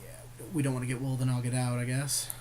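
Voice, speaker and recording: Casual man with a mid-pitched voice. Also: monotone, a little fast, a little mellow, a little hiss or hum. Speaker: podcast co-host. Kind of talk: casual chat while drafting a card game.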